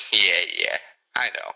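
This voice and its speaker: An actor playing a matter-of-fact hired killer in a radio thriller, chuckling and halting as he reassures. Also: low voice, sing-song, gravelly, pushed and bright, exaggerated voice. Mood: cold, chuckling.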